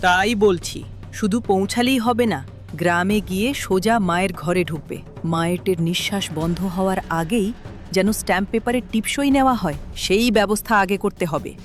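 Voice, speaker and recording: A woman's voice: medium pitch, brisk, lightly acted. A woman playing a cold, greedy, scheming wife in a melodramatic cartoon dub. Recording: music behind the voice.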